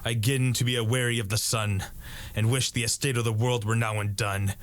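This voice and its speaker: A man's voice: mid-range voice, steady tone, fairly quick, close to an everyday voice. A young man playing a defiant, doomed warrior king in a Shakespearean radio play, delivering verse in a declamatory way. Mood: desperate, defiant. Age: young adult. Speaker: student actor.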